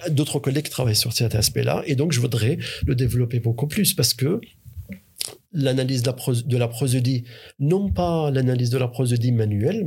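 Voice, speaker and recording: A man's voice: mid-range voice, darkish tone, husky. A man, a researcher, answering interview questions in a hesitant way, with many fillers and restarts. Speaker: researcher and university teacher.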